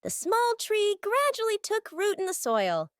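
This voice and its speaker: A woman's voice: extremely high pitch, huge pitch swings, unhurried, no room sound, studio quality. A woman reading scripted narration for a children's cartoon story. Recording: music behind the voice.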